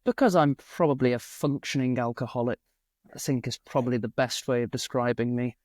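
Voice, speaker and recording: A man's voice: mid-range voice, fairly even intonation, a little fast, a little mellow, no echo, clean studio sound. A man, a teacher and guest chatting casually, joking at his own expense with many fillers and restarts. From England, near Manchester. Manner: self-deprecating, jokey, with fillers and restarts. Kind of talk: casual conversation.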